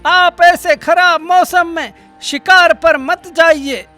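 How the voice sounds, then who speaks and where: A woman voicing a calm, wise old male royal priest in a cartoon. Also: very high voice, takes it slowly, soft background music, heavily acted. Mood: advising. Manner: calm, advising.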